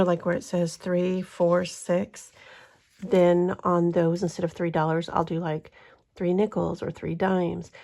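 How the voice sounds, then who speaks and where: A woman chats casually as host of her budgeting channel. Her voice is stuffy from a cold, with a gravelly, creaky edge and a mellow, dark tone. She rambles along with frequent ums. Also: fairly low pitch. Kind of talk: casual vlog chat.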